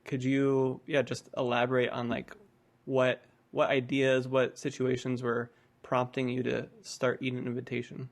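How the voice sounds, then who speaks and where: A man hosting a podcast, asking questions in a casual, tentative, halting way. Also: medium pitch, completely flat delivery. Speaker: podcast host. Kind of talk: casual interviewing.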